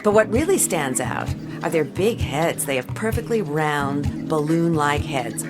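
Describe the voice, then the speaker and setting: A woman playing a cool, wry adventurer, narrating a radio drama with dry, deadpan delivery. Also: low-pitched, unhurried, music in the background. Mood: dry, amused.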